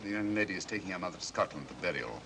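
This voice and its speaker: A cool British gentleman detective, quick and rather low, with lively inflection. He is clipped, dry and astute, in 1940s film style over a noisy train rumble. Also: vocal fry. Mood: cool, discreet. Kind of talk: 1940s mystery film acting.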